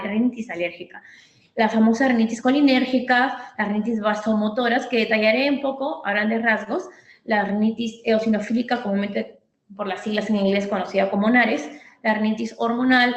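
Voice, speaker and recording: A woman's voice: medium pitch, dull audio. A woman physician giving a medical training lecture, explaining step by step and often checking in with her listeners. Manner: didactic, repeats points, checks in with "¿ok?". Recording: echoey room.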